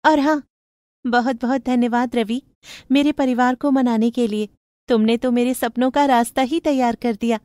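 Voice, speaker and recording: Young village woman, grateful, sunny, earnest, pitched up, dry recording, performed by a female narrator. Animated bedtime tale. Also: moderate pace, no echo, natural voice. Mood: cheerful, grateful. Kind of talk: animated moral story dialogue.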